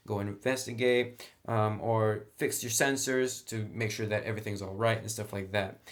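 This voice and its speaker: A man in a chatty, enthusiastic style, reviewing a tabletop roleplaying game. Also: medium pitch. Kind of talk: casual review talk.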